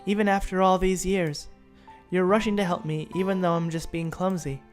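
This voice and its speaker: A man voicing a sweet, clumsy teenage girl from a visual novel game, speaking softly and earnestly. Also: high voice, flat delivery, moderate pace, faint music behind, voice pushed up, close to an everyday voice. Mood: tender.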